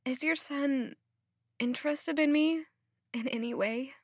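A woman's voice: high-pitched, measured pace, no room sound, clean studio sound. An actress playing a lovestruck, self-doubting young woman in an audio drama, speaking hesitantly and musingly. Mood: timid, unsure. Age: young adult. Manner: hesitant, musing, questioning herself. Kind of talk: audio drama acting, introspective monologue.

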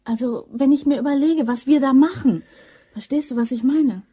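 Anxious, devoted young female accomplice for radio drama: very breathy, mellow. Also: sits high, normal speed. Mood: anxious, pleading. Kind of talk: German crime radio drama acting.

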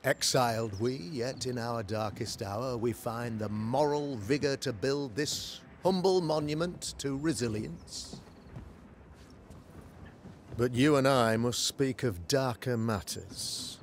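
Slow, pompous preacher. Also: male voice, mid-range voice, slight background noise. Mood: grave, urgent. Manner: pompous, florid, oratorical. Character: pompous, pious preacher, learned man of the cloth. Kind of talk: theatrical video game acting, sermonizing.

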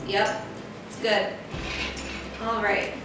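A woman's voice: mid-range voice, flat delivery, very slow. A young woman hosting a casual cooking tutorial in her home kitchen, upbeat and chatty, with lots of "like". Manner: chatty and upbeat, says "like" often. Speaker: cooking channel host. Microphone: far camera mic. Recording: echoey kitchen, mic at a distance, music underneath.

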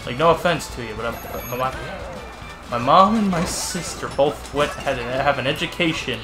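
Brief: young male co-host, naturally loud, playful gaming chat over game music. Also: medium pitch, normal speed, reverberant. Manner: loud, excitable, teasing. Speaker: co-host of a gaming let's play. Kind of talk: casual chat while playing.

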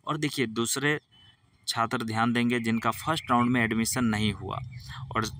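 A man's voice: medium pitch, steady tone, moderate pace, sharp tone. A man hosting an education channel, walking students through an official notice and filling his speech with "theek hai.". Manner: repeats "ठीक है" and "जो है" as fillers, patient instructive tone. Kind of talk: screen-recorded explainer for students.

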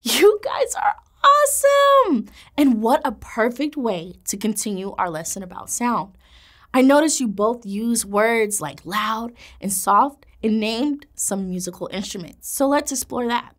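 A woman in her thirties, a teacher presenting a kids' educational video with an animated, encouraging manner. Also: a little high, a little slow. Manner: animated, encouraging, gestures while speaking. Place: indoor classroom studio set.

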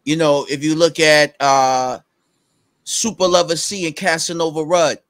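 Excitable New rapper, higher voice. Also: male voice, measured pace, no room sound. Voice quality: fairly high-registered voice. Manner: animated, recites rap lyrics with bursts of energy, many fillers. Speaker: rapper guest. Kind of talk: casual video-call interview. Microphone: headset on a video call.

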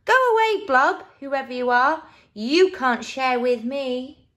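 A woman's voice: on the high side, big pitch swings, slow pace, fairly bright tone, full character voice. A woman voicing a grumpy, territorial crab in a storybook, bossy and dismissive. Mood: indignant. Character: grumpy, territorial crab guarding his shell.

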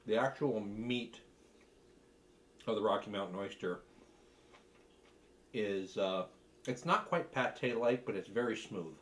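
Middle aged guy w a lowish voice doing a food tasting in his kitchen, kinda halting n thoughtful, talks between bites. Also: fairly bright tone. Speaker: cooking video host. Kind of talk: casual tasting commentary. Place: home kitchen, seated at a table.

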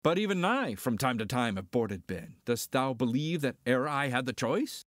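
A man's voice: medium pitch, expressive, moderate pace, dry recording, clean studio sound, lightly acted. Roguish smuggler male actor, mid-range voice, wry and cocky, delivering archaic dramatic verse. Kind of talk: amateur Shakespearean dramatic reading.

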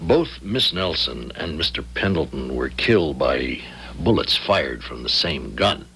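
Radio detective: extremely low, gravelly, matter-of-fact, probing, on a faintly noisy old recording. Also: male voice, sing-song, measured pace, a bit bright, exaggerated voice. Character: methodical, probing male police lieutenant. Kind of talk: 1970s radio drama acting.

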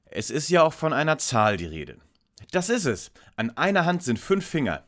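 A man's voice: fairly high pitch, sing-song, normal speed, a bit bright. A man playing a self-assured, lecturing young detective leader in an audio drama parody. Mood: smug, lecturing. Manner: precise, pompous, lecturing.

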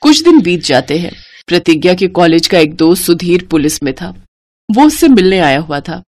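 A woman's voice: on the low side, big pitch swings, normal speed, a little mellow, no room sound. A woman reading a scripted story as its narrator.

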